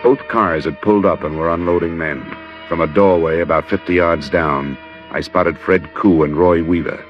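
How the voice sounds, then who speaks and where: An American actor playing a terse, deadpan hard-boiled investigator in an old radio crime drama. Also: male voice, sits low, normal speed, close to an everyday voice. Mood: grim, tense. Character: hard-boiled male insurance investigator narrating his case. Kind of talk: 1940s-50s radio crime drama narration. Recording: dull old radio recording, music behind.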